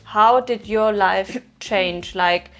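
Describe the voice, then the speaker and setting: A woman's voice: mid-range voice, drawn-out words, fairly bright tone, soft background music. A young woman with a German accent, working as a reporter in a casual interview style.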